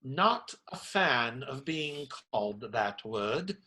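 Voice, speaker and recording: A man's voice: medium pitch, sing-song, slow pace, bright, forceful tone, heavily acted. A man acting in a radio play as a stout, strong friar who is indignant, pious and sarcastically preachy. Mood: threatening, sardonic. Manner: theatrical, sermonizing sarcasm.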